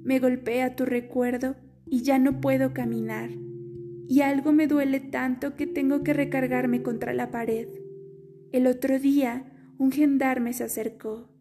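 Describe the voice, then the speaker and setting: A woman reading a literary letter aloud in a soft, slow, wistful way. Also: high-pitched, level tone, soft background music. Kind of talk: scripted audiobook reading.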